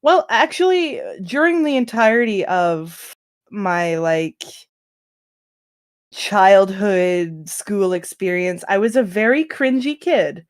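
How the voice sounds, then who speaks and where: A woman's voice: mid-range voice, animated, slow pace. A woman on a casual group podcast, telling personal anecdotes in a self-deprecating, informal way. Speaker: podcast member.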